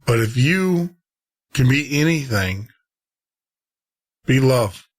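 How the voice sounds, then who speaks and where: Male radio host, mid-pitched, casual and conversational, rambles a little while addressing listeners. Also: animated, slow. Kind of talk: casual sign-off talk to listeners.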